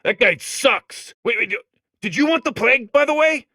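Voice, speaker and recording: A man's voice: very high voice, expressive, normal speed, sharp tone, no echo, clean studio sound, heavily acted. A man voicing a cheerful, chatty robot core full of sewage in a comedic game, eager and unashamed. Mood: proud, eager. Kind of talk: comedic video game character monologue.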